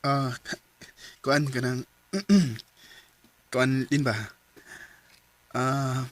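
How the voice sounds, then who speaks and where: An actor playing a young male student who courts a classmate, speaking hesitantly with many pauses. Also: mid-range voice, dry recording. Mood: shy. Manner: hesitant, halting, many ums and throat clears. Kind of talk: amateur radio drama acting.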